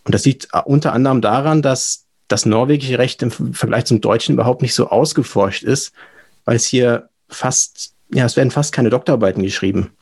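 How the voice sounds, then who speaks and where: Husky, creaky young German man. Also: mid-range voice, brisk, darkish tone. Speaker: podcast guest, lawyer. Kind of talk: casual explanatory interview talk.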